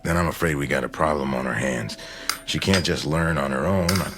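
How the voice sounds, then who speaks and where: A man's voice: extremely low pitch, brisk, noisy background. An actor playing a blunt, impatient middle-aged husband and foster father in a dramatic radio play. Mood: frustrated, impatient. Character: gruff, frustrated, skeptical husband and foster father.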